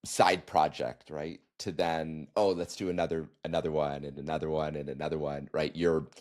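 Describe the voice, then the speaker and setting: A man hosting a podcast interview, asking enthusiastic, halting questions with many restarts. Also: extremely low pitch, flat delivery, darkish tone. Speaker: podcast host. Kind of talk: casual interview conversation.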